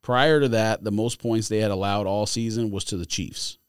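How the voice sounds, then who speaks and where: A man with a slightly low voice talks sports on a podcast. His delivery is casual, blunt and sardonic as he disputes picks. The recording is dry, with hardly any echo. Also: steady tone, normal speed, clean tone.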